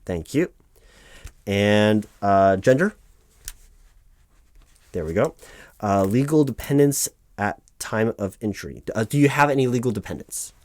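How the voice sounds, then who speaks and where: Guy acting as patient hr paperwork guy, lowish voice, halting, very dry close sound, asmr roleplay. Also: lively intonation, a little mellow, dry recording. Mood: businesslike. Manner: halting, bureaucratic, reading form fields aloud. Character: officious, patient male HR officer doing paperwork. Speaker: human resources interviewer filling out forms. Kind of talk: one-sided audio roleplay, ASMR.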